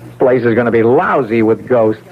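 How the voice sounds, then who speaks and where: An actor playing a callous, menacing armed crook in a classic radio drama, tough, sneering and slangy. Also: male voice, mid-range voice, moderate pace, lightly acted. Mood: threatening. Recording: tinny, noisy old radio recording.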